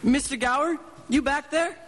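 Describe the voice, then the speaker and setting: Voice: high voice, moderate pace, natural voice. A performer voicing an eager, adventurous twelve-year-old boy in a radio drama, chatty and earnest. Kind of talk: live radio drama acting. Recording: reverberant stage hall, background noise.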